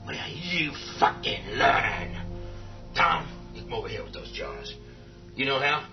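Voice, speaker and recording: A man's voice: a little high, measured pace, lo-fi sound, over music. An actor playing a tough, short-tempered survivor leader in a horror radio drama, blunt and profane as he barks orders. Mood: angry, scornful. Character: tough, commanding, short-tempered man leading trapped survivors.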